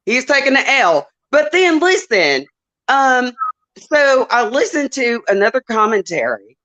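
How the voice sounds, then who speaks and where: A woman's voice: on the high side, very animated, a little slow, a bit bright. A woman with a Southern US accent, calling into a show and chatting emotionally, laughing often. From Southern United States. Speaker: caller. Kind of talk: casual call-in chat.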